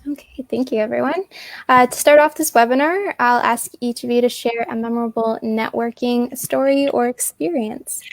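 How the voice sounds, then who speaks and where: A woman's voice: a little high, normal speed, no room sound, low-quality recording. A woman moderating a webinar panel, giving brief, polite prompts to each speaker.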